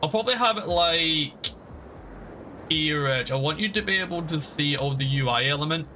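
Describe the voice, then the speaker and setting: Bright-voiced, rambling male game streamer. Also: fairly high pitch, lively intonation, normal speed, slight background noise. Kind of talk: casual livestream game commentary. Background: game music.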